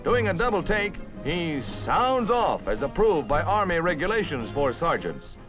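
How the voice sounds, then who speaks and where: Animated 1950s American newsreel announcer, male. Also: fairly high pitch, a little slow. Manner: punchy, dramatic announcer delivery. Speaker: newsreel narrator. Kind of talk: 1950s newsreel scripted narration. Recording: dull vintage newsreel soundtrack with music.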